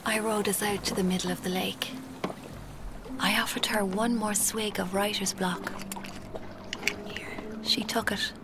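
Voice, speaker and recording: An actress playing a cold woman in her twenties, narrating her confession in a clipped, deliberate way in a radio drama. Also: mid-range voice, steady tone, noisy background, close to an everyday voice. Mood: secretive. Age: 20s. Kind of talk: scripted first-person drama narration.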